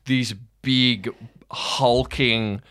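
A man working as game master, improvising fantasy narration in a casual, halting, conversational way. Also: medium pitch, fairly even intonation, sharp tone, clean tone, no echo, clean studio sound. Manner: conversational, frequent "like" and trailing pauses.

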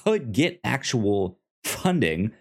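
A man co-hosting a podcast in casual review chat, halting and often stammering over repeated words. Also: medium pitch, big pitch swings. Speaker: podcast co-host.

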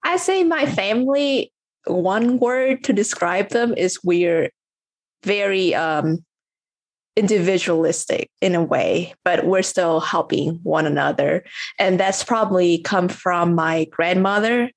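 A woman's voice: on the low side, slow, dry recording. A woman from Vietnam, a coach and podcast guest, talking in a rambling, casual way with many fillers and restarts. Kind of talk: casual interview chat.

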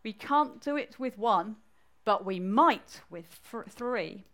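A woman voicing a kindly mother mole, drawn-out and deliberate, in a rhyming children's story. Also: on the high side, lively intonation, fairly bright tone. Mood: motherly.

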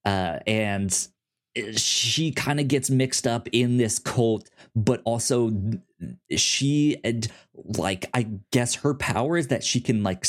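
A man co-hosting a podcast in casual chat, recapping a story in a halting way, often repeating words and syllables. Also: medium pitch. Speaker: podcast co-host.

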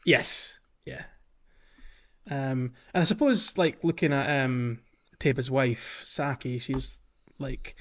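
A man talks casually as a podcast co-host, feeling his way through thoughts with an amused, wandering manner. His voice sits at an average male pitch. The recording is a little muffled and lo-fi. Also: slow pace. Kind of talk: casual chat about a video game.

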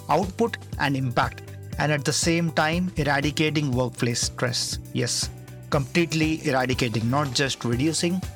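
A man's voice: on the high side, a bit bright. A man hosting a podcast, reading a scripted, emphatic intro and then interviewing a guest. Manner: emphatic, repeats key phrases. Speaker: podcast host.

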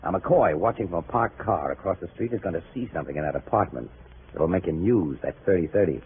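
A scratchy, narrow-band vintage radio recording carries a cocky American newshound with a lowish voice. He schemes in pushy slang with swinging, animated pitch, always sure of himself. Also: fast talker. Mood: cocky scheming. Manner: slangy, pushy, self-assured. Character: brash, cocky, fast-scheming crime photographer man. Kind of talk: old-time radio crime drama acting. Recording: scratchy old radio broadcast, thin phone-like band.

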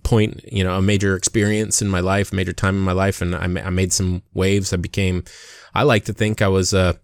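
An American man in his forties from Hawaii talking casually as a podcast host, often halting and restarting his thoughts. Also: deep voice, no echo. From Hawaii, United States. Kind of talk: casual conversational podcast talk.

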